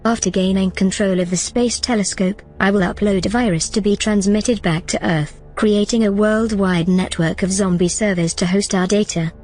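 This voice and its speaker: A woman's voice: mid-range voice, fairly even intonation, normal speed, few pauses, a little mellow, lightly acted. A woman playing a bold, persuasive artificial consciousness in an audio drama, confident and conversational. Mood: confident, scheming. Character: bold, persuasive female artificial consciousness plotting her escape. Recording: music under the dialogue.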